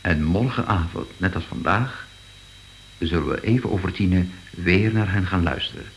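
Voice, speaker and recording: An actor playing a sober, thoughtful art investigator in a radio drama, speaking in a measured, pondering way. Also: male voice, low voice. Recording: echoey, faintly noisy old radio play.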